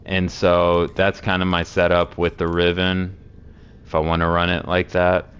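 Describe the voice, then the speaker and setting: A hoarse man with a sore throat casually walking through game builds, rambling with many fillers. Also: low-pitched, monotone, normal speed, fairly bright tone. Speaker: game streamer explaining builds.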